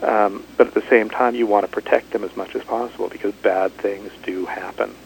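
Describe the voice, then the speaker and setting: Low gravelly man, halting and thoughtful. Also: fairly even intonation, slight background noise, poor audio. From Canada. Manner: thoughtful, halting, many restarts. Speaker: author. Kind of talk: radio interview.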